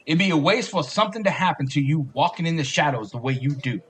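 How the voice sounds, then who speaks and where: A man's voice: a little high, lively intonation, fast, husky. An actor playing a blunt but caring, fatherly police sergeant in an audio drama, folksy in his talk. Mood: earnest, fatherly. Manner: folksy, blunt, calls younger men kid and bub.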